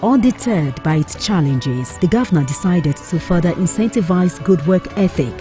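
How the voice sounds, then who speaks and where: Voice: medium pitch, expressive, moderate pace, over music. A news reporter reading scripted narration in a formal, declarative manner.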